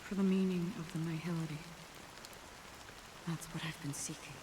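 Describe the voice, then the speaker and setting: An actress playing a calm, pensive wanderer in a video game, earnest and questioning. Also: female voice, sits low, moderate pace, natural voice. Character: calm, pensive wanderer seeking meaning in nothingness. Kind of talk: scripted video game drama. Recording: game audio with rain noise behind.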